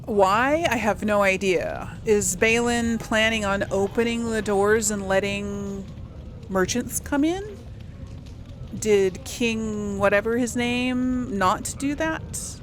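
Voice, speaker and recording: A woman's voice: mid-range voice, takes it slowly, slight background noise. Casual woman musing through a game. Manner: musing aloud, conversational. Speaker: gaming channel host. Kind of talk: casual game role-play commentary.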